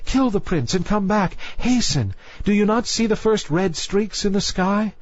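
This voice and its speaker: A man's voice: high-pitched, expressive, unhurried, no echo, highs cut off, voice pushed up. A man voicing mournful young mermaid sisters, pleading urgently in a storybook reading. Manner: urgent, imploring. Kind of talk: storybook narration dialogue.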